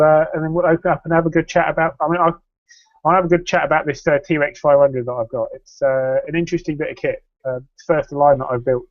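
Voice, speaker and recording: A man hosting a casual online chat, relaxed and chatty. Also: fairly high pitch, dry recording. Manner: relaxed, chatty, a few restarts. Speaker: host. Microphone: online call. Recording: dull, dry lo-fi call audio.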